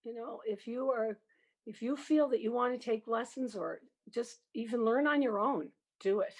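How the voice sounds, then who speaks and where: A woman's voice: on the high side, normal speed. An older woman, talking in an encouraging, plain-spoken way as an interview guest about her work. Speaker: music teacher, interview guest. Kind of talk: interview conversation.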